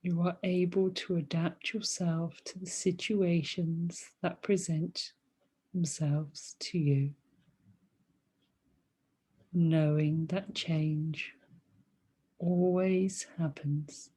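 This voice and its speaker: Very slow, breathy woman guiding meditation. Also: lowish voice, steady tone. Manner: soothing, gentle, long pauses between short phrases. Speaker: yoga and meditation teacher. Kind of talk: guided meditation, body scan.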